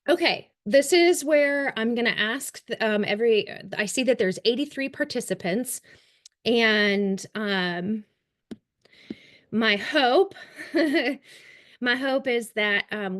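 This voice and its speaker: Kentucky woman giving a webinar talk. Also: fairly high pitch. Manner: warm, chatty, with frequent ums and light laughs. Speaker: trauma-informed care trainer. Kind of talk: webinar presentation.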